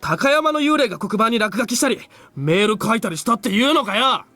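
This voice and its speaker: An angry, defiant schoolboy from a visual novel. He fires words out very fast in a sharp, high voice. His delivery is rough and confrontational, recorded close and dry. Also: expressive, no room sound, faint music behind, heavily acted. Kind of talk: Japanese visual novel voice acting.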